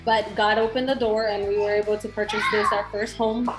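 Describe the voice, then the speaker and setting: A woman from Argentina, a guest telling her story in a casual interview, chatty and quick to quote herself. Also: mid-range voice, flat delivery, reverberant, loud music behind the voice, poor audio. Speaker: interview guest, Airbnb host.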